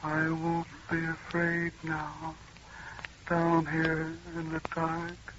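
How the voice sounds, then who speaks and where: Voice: mid-range voice, completely flat delivery, extremely slow. An actor playing a doomed son calling to the mother, eerie and resigned, in an old-time radio horror drama. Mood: eerie calm. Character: dying young son calling softly from the dark. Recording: very noisy muffled old radio recording.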